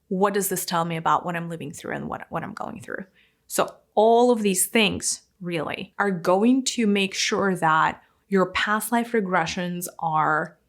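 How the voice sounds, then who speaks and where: A woman in her thirties giving a casual, animated talk to camera in a room, now and then dropping to a near-whisper for emphasis. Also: medium pitch. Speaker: spiritual teacher. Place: indoor room with plants and a window. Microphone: fixed camera, medium framing.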